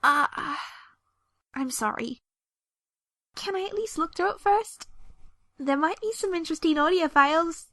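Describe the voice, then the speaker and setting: A woman's voice: extremely high pitch, animated, a little slow, long pauses, fairly bright tone, no echo, studio quality, heavily acted. A woman voicing an eager, bookish young female pony in an audio drama, earnest and easily flustered. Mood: subdued, hopeful. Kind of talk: animated audio drama acting.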